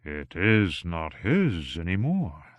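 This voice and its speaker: A soft-spoken, anxious manservant in an audiobook, played by a man. He speaks low and quietly, choosing his words with careful, subdued politeness. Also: moderate pace, dry recording. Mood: hushed hope.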